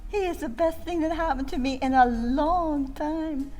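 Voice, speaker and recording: A woman's voice: high-pitched, measured pace, warm tone, soft background music, voice pushed up. A woman voicing a lovestruck young daughter, gushing and swooning.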